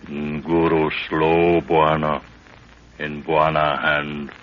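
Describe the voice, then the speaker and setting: A man's voice: extremely low pitch, monotone, extremely slow, heavily acted. A man playing a loyal, stoic African guide who speaks broken pidgin English in short phrases, in 1930s radio acting style. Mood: stoic, watchful. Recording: dull, noisy 1930s radio recording.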